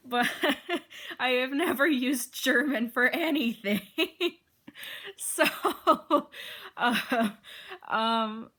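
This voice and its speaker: A woman's voice: high-pitched, extremely slow, pauses often, bright, forceful tone. A young woman from Arizona with a slight Wisconsin accent, rambling casually in a vlog, laughing often and using lots of fillers. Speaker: vlogger.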